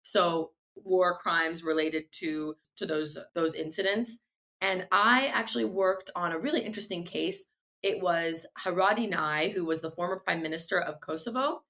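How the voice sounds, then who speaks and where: Bright-voiced woman, earnest podcast guest. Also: fairly low pitch, moderate pace. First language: Farsi. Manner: earnest, thoughtful, with frequent restarts. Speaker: guest, legal tech founder. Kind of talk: podcast interview answers.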